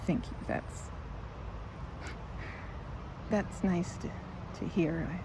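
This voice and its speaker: A woman's voice: lowish voice, fairly even intonation, extremely slow. A woman playing a timid, flustered young woman in an ASMR roleplay, stammering and hesitant, rambling self-effacingly. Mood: bashful, modest. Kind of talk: ASMR roleplay, one-sided conversation. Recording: clearly noisy outdoor ambience.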